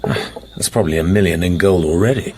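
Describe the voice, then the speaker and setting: A man playing a cool British secret agent in a radio drama. He speaks low, in terse, wry, understated lines, with faint noise behind the voice. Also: fast talker, close to an everyday voice. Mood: cool, probing. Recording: faint background noise and ambience.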